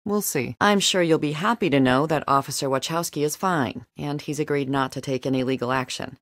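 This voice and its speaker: A low-pitched, stern female detective played by a woman from a video game drama. She is firm and probing, with a dry sarcastic edge. The recording is close and dry. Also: a little fast, no room sound, natural voice. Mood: measured, coaxing. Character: stern, persistent female police detective interrogating a suspect.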